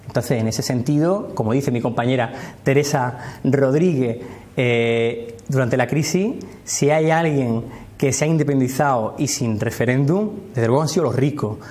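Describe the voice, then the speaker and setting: A man with an Andalusian accent, a party communications officer answering in a TV interview in a measured, argumentative way. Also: medium pitch, darkish tone. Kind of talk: TV studio interview.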